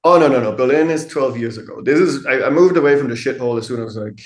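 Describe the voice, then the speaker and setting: A middle-aged man with a Danish accent talks in an easygoing, candid way, swearing now and then and laughing often. His voice sits at an ordinary middle pitch. The room around him gives it a noticeable echo. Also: very fast. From Denmark. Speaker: guest, tattoo artist and photographer. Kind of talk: casual interview conversation. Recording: echoey room.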